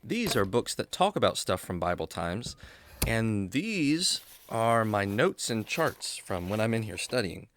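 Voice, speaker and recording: A man playing a kindly, patient adventurer who explains things gently and earnestly to his animal buddy in a children's radio drama. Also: fairly low pitch, sing-song, unhurried. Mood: explanatory.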